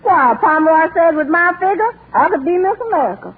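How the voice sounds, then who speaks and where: A big, good-natured Southern maid, flatters in a high, swooping folksy drawl. The recording is an old, thin-sounding 1940s radio comedy. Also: female voice, fairly quick. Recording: tinny, hissy old radio broadcast.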